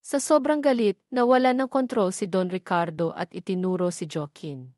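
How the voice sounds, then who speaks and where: A woman with a Filipino accent reading a romance story aloud as a steady, scripted narration. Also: mid-range voice, normal speed, dry recording, clean studio sound. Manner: steady storytelling read, voicing all characters lightly.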